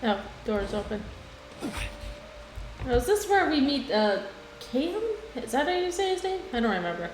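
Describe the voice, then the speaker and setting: A woman's voice: sits high, very animated, moderate pace, pauses often, slight background noise. A woman chatting casually as she livestreams a video game, with game music behind her. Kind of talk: casual livestream gaming chat. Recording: echoey room, music behind.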